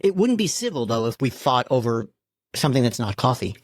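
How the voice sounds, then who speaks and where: A man's voice: mid-range voice, very animated, fairly quick, dry recording. A man co-hosting a podcast in casual chat. He talks in a dry, teasing and blunt way. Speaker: podcast co-host.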